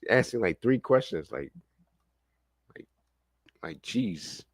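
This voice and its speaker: Casual, low-voiced man reacting. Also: expressive, slow pace, slightly rough, no room sound. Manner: rambling, slangy, amused. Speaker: reaction streamer. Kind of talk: casual off-the-cuff reaction commentary.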